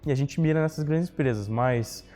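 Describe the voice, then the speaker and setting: A young man speaks at a middle pitch in a casual, reflective way, often tagging his sentences with "né". His voice trails with a long reverb, and faint music plays behind him. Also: fairly quick, warm tone. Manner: casual, reflective, many fillers like "né". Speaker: guest, economics graduate. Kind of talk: interview answers.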